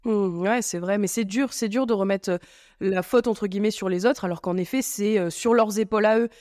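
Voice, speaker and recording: A woman's voice: medium pitch, fairly quick, a little mellow, dry recording, studio quality. A woman hosting a podcast, chatting casually and rambling with many fillers and restarts. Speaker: podcast host. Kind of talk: casual chat.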